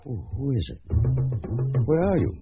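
A man's voice: mid-range voice, huge pitch swings, moderate pace, creaky. An actor playing a frazzled, skeptical middle-aged father who sputters, in a radio drama. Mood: flustered, disbelieving. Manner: flustered, sputtering. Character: frazzled, hard-drinking, skeptical family father. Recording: muffled sound with music behind.

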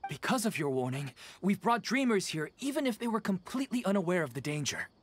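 A man's voice: high voice, sing-song, fast, slight background noise. An actor playing an anxious, deferential young male villager in a video game, apologetic and worried.

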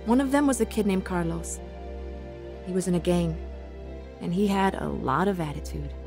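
A woman's voice: on the low side, moderate pace, gravelly. An actress playing an earnest young woman teacher who narrates her own faith story in a radio drama. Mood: heartfelt. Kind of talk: dramatized first-person testimony narration. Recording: new-age music behind the voice.

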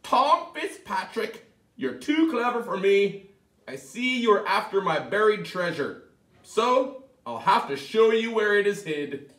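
A man's voice: high-pitched, lively intonation, slow, voice pushed up, exaggerated voice. A man voicing a small, sly old male leprechaun cobbler who talks sharply and scolds. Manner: sharp, scolding, whining when caught. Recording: echoey room, mic at a distance.

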